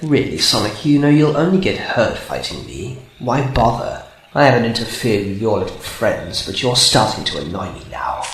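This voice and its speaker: A man's voice: fairly low pitch, sing-song, moderate pace, fairly bright tone, reverberant, a little hiss or hum, low-quality recording. A man playing a menacing, gloating battle robot villain who taunts grandly in an amateur radio drama.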